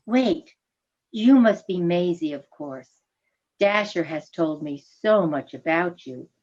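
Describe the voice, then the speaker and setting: A woman's voice: on the low side, darkish tone, dull audio. A woman reading a gracious, affectionate female reindeer in a radio play, genteel and effusive.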